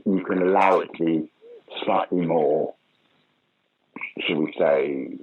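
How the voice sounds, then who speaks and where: A man's voice: very deep voice, takes it slowly, husky, phone-quality sound. An older British man, a pantomime dame actor, telling stage stories in a casual interview. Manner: storytelling, animated anecdotes.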